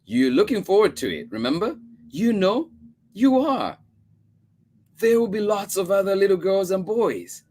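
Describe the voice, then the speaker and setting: A man voicing an anxious adoptive mother, in a high strained voice while reading a story aloud. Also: very animated, unhurried, darkish tone, full character voice. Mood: pleading. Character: anxious adoptive mother, woman, pleading then stern.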